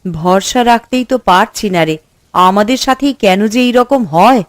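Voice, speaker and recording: A woman's voice: medium pitch, moderate pace, dry recording, clean studio sound, close to an everyday voice. A woman voicing a poor village housewife in a cartoon, with music behind her. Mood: downcast. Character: poor, worried village housewife who cooks. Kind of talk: cartoon voice acting.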